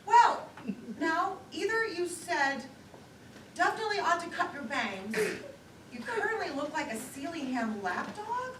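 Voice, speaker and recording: High-voiced nervous chatterbox woman, acted on stage. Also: sing-song, a little slow, exaggerated voice. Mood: self-conscious, hopeful. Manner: rambling, self-conscious, giggly, theatrical. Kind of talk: live one-act stage play monologue. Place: lakeside theater stage, recorded from afar. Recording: distant, echoey, noisy live theater recording.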